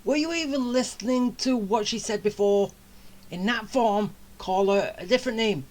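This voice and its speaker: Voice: medium pitch, moderate pace, a little mellow. A game streamer giving casual playthrough commentary, joking along and reacting to the dialogue.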